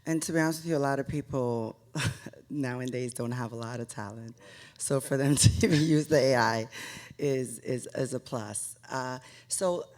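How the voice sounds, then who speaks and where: A man's voice: mid-range voice, normal speed. A man in his late thirties with a mid-range voice speaks as an interviewer. His delivery is informal and conversational, like a chat between acquaintances. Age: late 30s.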